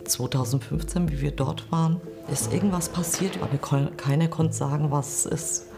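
A woman in her forties giving a reflective, halting interview account. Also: low-pitched, steady tone, vocal fry, music in the background. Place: quiet indoor room.

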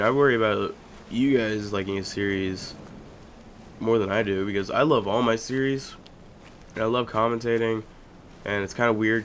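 A young man with a lowish voice commentates a video game in a loose, chatty way, joking as he goes and bursting out loudly now and then. A faint hiss sits under the recording. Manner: joking, chatty, with sudden loud outbursts. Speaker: gaming YouTuber. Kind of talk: casual let's-play commentary.

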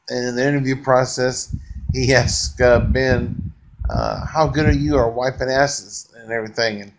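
Relaxed rambling middle-aged man reviewing movies. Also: medium pitch, low-quality recording. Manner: relaxed, rambling, frequent uh fillers, enthusiastic praise. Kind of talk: casual unscripted movie review. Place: bedroom, lying on a couch or bed, indoors. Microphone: webcam close to the face.

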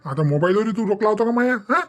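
A man's voice: high voice, animated, fast, fairly bright tone. A man voicing a grumbling old husband in a family cartoon, aggrieved and repetitive, with a Varhadi Marathi accent. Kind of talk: cartoon family comedy acting.

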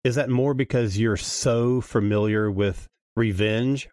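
A man's voice: medium pitch, measured pace, darkish tone, dry recording, clean studio sound. A man in casual, conversational discussion as a guest on a podcast.

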